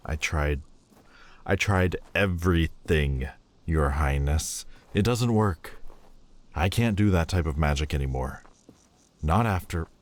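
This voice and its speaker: A man voicing a sullen, proud demon lord who speaks with slow, scornful deliberation in an audio roleplay. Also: rumbling low, darkish tone. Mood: weary.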